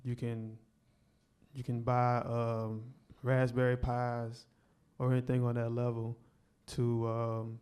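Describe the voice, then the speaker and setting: A man's voice: medium pitch, completely flat delivery, slow, a little mellow, smooth. A man on a panel giving laid-back, plain-spoken answers.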